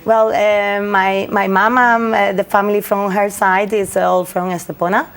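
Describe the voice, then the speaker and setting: A woman's voice: medium pitch, level tone, normal speed. A young woman lawyer from Spain with a Spanish accent, speaking English as a second language and answering interview questions with many fillers and restarts. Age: young adult. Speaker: lawyer guest.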